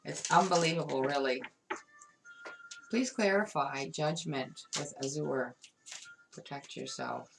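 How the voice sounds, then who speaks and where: A woman's voice: deep voice, a little slow, creaky, pauses often, noisy background. A woman giving a tarot card reading, talking straight to the viewer with emphatic, repetitive phrasing. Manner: emphatic, repeats words, addresses viewer directly. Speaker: tarot reader.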